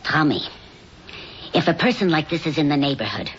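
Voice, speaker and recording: A low-voiced middle-aged mother figure in an old radio drama. She is earnest and caring, scolding and pleading in turn, on a dull recording with faint noise. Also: expressive, fairly quick. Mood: wry, concerned.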